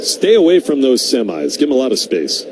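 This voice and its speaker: Male American baseball play-by-play voice, medium pitch, live commentary and ad reads, noisy ballpark broadcast. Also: very animated, fairly quick, vocal fry. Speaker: baseball radio play-by-play announcer.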